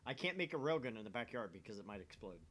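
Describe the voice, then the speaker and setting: A man's voice: lowish voice, very animated, quick pace, a bit bright. A man hosting a let's play, reading visual novel narration aloud and chatting casually in a self-deprecating way.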